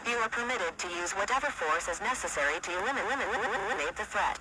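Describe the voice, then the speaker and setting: A man's voice: high-pitched, animated, fast. A man reading a scripted emergency alert in a formal, official announcer's manner. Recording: clearly noisy radio-like broadcast audio.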